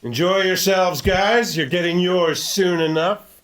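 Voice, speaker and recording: A man's voice: high voice, measured pace, a bit bright. A man playing a grumbling, hesitant thirty-year-old suburban husband in a table read, casual and wry.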